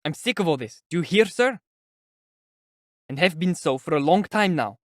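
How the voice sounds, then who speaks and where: A man voicing a resentful young visitor in an audiobook, speaking resolutely and irritably, close to shouting. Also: on the high side, lively intonation, fairly quick, no echo, pitched above the performer's own voice. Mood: exasperated, defiant. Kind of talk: audiobook character acting.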